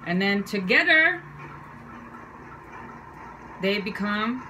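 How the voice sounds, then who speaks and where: Slow, halting woman, Chinese native. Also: medium pitch, expressive, noisy background. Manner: slow, halting, playful, with fillers and self-corrections. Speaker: video creator. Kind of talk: casual explainer lesson.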